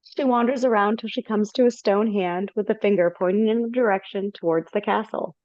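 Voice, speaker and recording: A woman's voice: medium pitch, a little fast, darkish tone, no echo. A woman reading the narration in a casual, stumbling way over a video call. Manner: casual, stumbling, laughs between lines. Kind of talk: reading stage directions in a live reading. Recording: dull lo-fi call audio.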